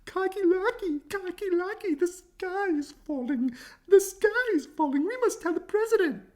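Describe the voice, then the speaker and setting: A woman voicing a frantic, dim-witted hen for a children's storybook, calling out in shrill, repetitive panic. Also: lively intonation, normal speed, darkish tone. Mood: panicked, urgent. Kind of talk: children's storybook read-aloud.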